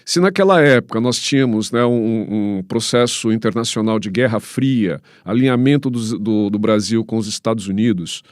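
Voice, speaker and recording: A Brazilian man with a fairly low voice, recorded dry, explains like a professor on a panel. He goes haltingly, repeating words and adding little tag questions. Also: dry recording. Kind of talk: explanatory interview answers.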